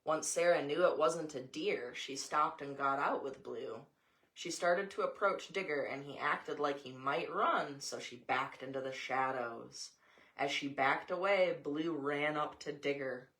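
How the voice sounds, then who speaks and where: Low-voiced American woman reading aloud. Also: measured pace, fairly bright tone, rough recording. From Montana, USA. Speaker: mother reading a story aloud. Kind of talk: read-aloud storytelling with casual asides.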